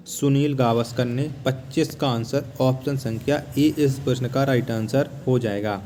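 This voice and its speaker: A man's voice: mid-range voice, mellow. A man teaching an exam-prep quiz, reading out questions and giving the answers in an instructional, repetitive way.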